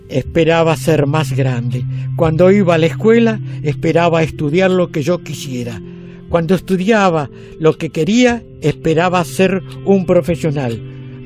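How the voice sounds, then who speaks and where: An Argentine man in his eighties in an audio drama. His voice sits a little high, and he speaks in a reflective, resigned manner over soft music. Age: 80s. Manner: deliberate, reflective. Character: weary eighty-year-old retired man, reflective and resigned.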